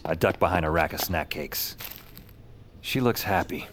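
An actor playing a weary, sardonic hero who narrates his dark supernatural trip in a wry, deadpan way. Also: low voice, moderate pace. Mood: subdued. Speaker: lead character and narrator. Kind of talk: noir-tinged first-person audio drama narration. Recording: voice under loud music.

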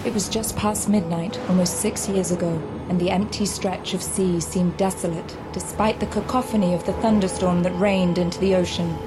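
A woman giving dramatic, scripted narration for an audio drama. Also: lowish voice. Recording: loud music behind the voice. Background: loud music.

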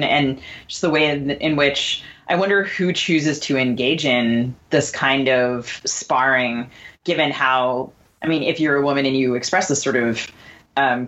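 A woman co-hosting a conversational literary interview, putting questions in a measured, articulate way. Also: very deep voice, a bit bright, dull audio. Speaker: podcast co-host.

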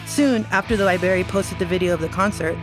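A woman narrating a scripted children's podcast story with animated, emphatic, expressive phrasing. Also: lowish voice, fast talker. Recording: music behind the voice.

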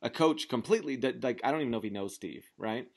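A man's voice: mid-range voice, animated, quick pace, creaky. Rambling, emphatic American man in his thirties. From Memphis area, southern United States. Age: 30s. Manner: rambling, emphatic, says "like" constantly. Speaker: sports podcast host. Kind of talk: casual sports chat.

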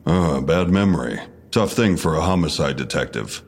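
A very low male voice plays a stern police detective in an audio drama. He questions in a measured, probing way, with a sardonic needle in his delivery. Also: expressive. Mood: sardonic pressure. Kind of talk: full-cast audio drama interrogation.

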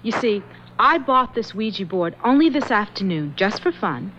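A woman's voice: medium pitch, sing-song, normal speed, fairly bright tone, a little hiss or hum. An actress playing a sensible young woman who earnestly explains herself in a radio drama. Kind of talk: 1970s radio drama acting. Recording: dull lo-fi radio recording.

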